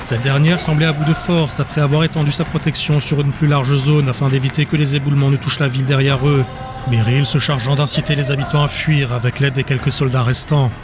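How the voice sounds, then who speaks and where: A man's voice: medium pitch, little pitch movement, a little fast, music in the background. A man reading scripted dramatic narration as the narrator of an audiobook.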